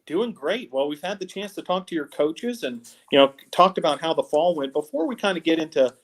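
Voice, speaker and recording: Middle-aged man, host, fairly high voice, upbeat, lots of uh, video call. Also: animated, a little fast. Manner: upbeat, many uh fillers. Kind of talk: college sports video-call show. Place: office with wall logo.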